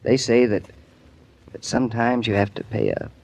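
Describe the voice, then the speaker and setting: An actor playing a thoughtful, somewhat hesitant young architect husband in a 1940s radio drama. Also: male voice, mid-range voice, steady tone, moderate pace, darkish tone, natural voice.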